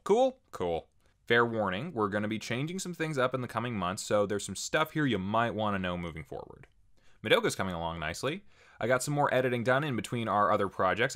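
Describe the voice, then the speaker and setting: A man with a somewhat low voice speaks as the host of an online channel. His pitch moves around a lot, giving the delivery an animated swing. He addresses viewers in an informal, prepared update style, dropping in wry asides. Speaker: channel host and video creator. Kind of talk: pre-recorded channel update, casual scripted address to viewers.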